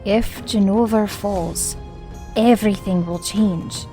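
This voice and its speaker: A woman's voice: medium pitch, slow pace, mellow, lightly acted. Woman playing a grave, defiant heroine, music behind. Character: troubled, defiant fantasy woman ally. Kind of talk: dramatized fantasy audiobook.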